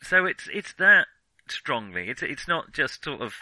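A man with a very bright, sharp-edged voice chats about films as a podcast co-host. He stops, restarts and hesitates often, with a dry room sound and a self-mocking, joking tone. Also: a little high, sing-song, no room sound, rough recording. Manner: halting, self-interrupting, hesitant phrasing. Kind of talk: casual film discussion.

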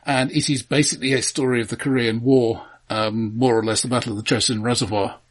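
Man, podcast co-host. Medium pitch with a rough, husky grain, casual countdown chat, hesitant phrasing. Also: steady tone, fairly quick. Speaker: film discussion podcast co-host.

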